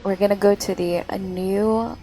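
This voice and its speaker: A woman's voice: medium pitch, steady tone, normal speed, warm tone, faint music behind, low-quality recording. A woman livestreaming a game with casual, playful and teasing reaction commentary.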